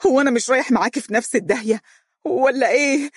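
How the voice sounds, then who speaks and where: A narrator doing a desperate Egyptian man shouting. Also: very high voice, very fast, breathy edge, no echo, studio quality, voice pushed up, exaggerated voice. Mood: panicked. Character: panicked young man, ashamed and desperate.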